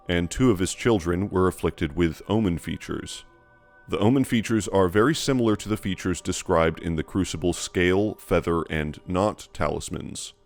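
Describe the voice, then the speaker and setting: A man with a low voice narrates a scripted explainer, laying out each point in a measured, deliberate way. He speaks close to the mic, with quiet music behind him. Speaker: lore video narrator.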